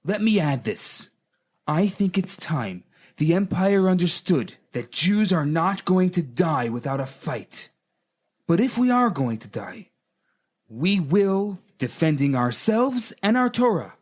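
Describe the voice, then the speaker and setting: Rebel commander voiced by a man, defiant and declamatory, high raised pitch, radio reenactment. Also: big pitch swings, takes it slowly, full character voice. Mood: defiant, resolute.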